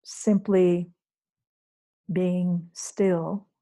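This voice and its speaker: A woman teacher leading a guided meditation in a soothing, unhurried way, leaving long pauses between phrases. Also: on the low side, little pitch movement, dark tone. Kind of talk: guided meditation, spoken off the cuff to a group.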